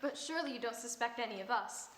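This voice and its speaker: A woman's voice: fairly high pitch, lively intonation, fast talker, a bit bright, roomy sound, slight background noise. A woman playing a reluctant, nervous young woman suspect in a radio play, speaking hesitantly. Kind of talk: 1940s radio acting.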